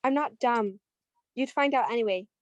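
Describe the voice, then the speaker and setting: High-pitched guarded femme fatale played by a woman. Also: normal speed, highs cut off, close to an everyday voice. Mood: guarded, bargaining. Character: guarded, desperate femme fatale, amateur murderess. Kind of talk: 1940s radio detective drama acting.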